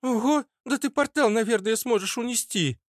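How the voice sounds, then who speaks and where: A man voicing a defiant, foul-mouthed teenage girl with a squeaky, snappy voice in a narrated story. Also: normal speed, no echo, studio quality. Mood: impressed, cheeky. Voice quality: squeaky, shrill. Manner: snappy, hissing, insolent.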